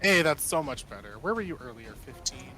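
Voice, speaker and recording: A man's voice: fairly high pitch, sing-song, fairly quick, music in the background, rough recording. A man chatting casually as a tabletop game player, with a wry, joking manner. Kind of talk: casual chat.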